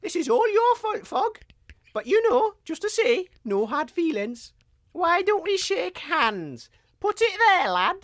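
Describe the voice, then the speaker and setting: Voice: very high-pitched, big pitch swings, slow, fairly bright tone, no room sound, studio quality, heavily acted. A performer voicing a sly, gloating storyteller with a grudge, mock-friendly and taunting, in a comedy audio drama.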